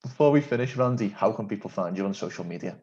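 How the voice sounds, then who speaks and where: Polite interviewer on a dull line. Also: male voice, lowish voice, animated, fast, darkish tone. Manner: polite, formal, complimentary. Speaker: interview host. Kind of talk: interview questions.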